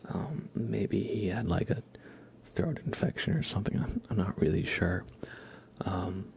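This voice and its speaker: Whispering, low creaky-voiced man, ASMR chat. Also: animated, measured pace. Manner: soft, hushed, gentle, informal. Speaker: ASMR livestream host.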